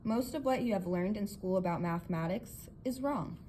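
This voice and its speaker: A woman's voice: mid-range voice, normal speed, warm tone, natural voice. Emphatic, confident male inventor, read by a woman. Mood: earnest, lecturing. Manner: emphatic, explanatory, theatrical. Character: earnest, confident male inventor lecturing his guests. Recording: clear background noise.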